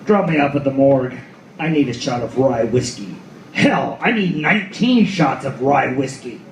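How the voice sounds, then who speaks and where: A man playing a cynical, foul-mouthed noir detective at the end of the world, in a theatrical radio-play style with drawn-out delivery. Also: mid-range voice, animated, a little hiss or hum, natural voice. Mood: weary, wry. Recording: echoey room, far from mic, music behind.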